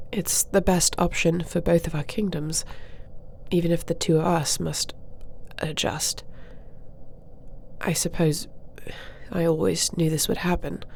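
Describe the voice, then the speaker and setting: A woman playing a formal, dutiful dragon-shifter princess in an audio roleplay, speaking in a measured, regal and diplomatic manner. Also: low-pitched, fairly even intonation, creaky, a little mellow, a little hiss or hum. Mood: resigned, pragmatic. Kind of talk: scripted audio roleplay acting.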